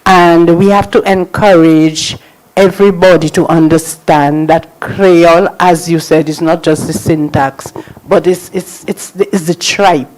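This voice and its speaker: An older woman giving an unscripted response at a public lecture, measured and encouraging. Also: lowish voice, darkish tone, low-quality recording.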